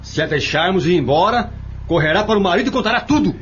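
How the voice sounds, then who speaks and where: An actor playing a ruthless, scheming younger brother in a Brazilian audio drama, insistent and conspiratorial, with a Brazilian accent. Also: male voice, sits high, lively intonation, fast talker, fairly bright tone, a little hiss or hum. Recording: dull lo-fi audio with faint music.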